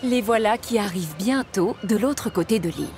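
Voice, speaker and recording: A woman's voice: medium pitch, animated, moderate pace. A woman narrator reading scripted storybook narration for a children's cartoon. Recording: music behind.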